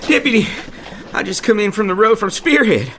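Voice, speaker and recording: A man's voice: sits high, big pitch swings, normal speed, sharp tone, a little hiss or hum, full character voice. A man playing a frantic, urgent western townsperson in a children's audio drama. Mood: alarmed.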